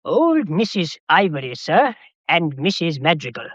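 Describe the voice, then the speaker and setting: A dry, slightly muffled radio mystery recording. a dutiful, deferential employee with a fairly high, lilting voice, formal and a little hesitant. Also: male voice, sing-song, measured pace, fairly bright tone, no echo, full character voice. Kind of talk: radio drama acting.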